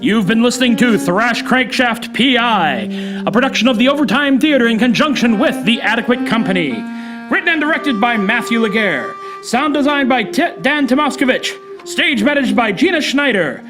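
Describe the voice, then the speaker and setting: A woman's voice: on the high side, animated, normal speed, over music, lightly acted. A woman playing a mock-dramatic announcer in a parody old-time radio serial, reading teasers and credits. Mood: brisk.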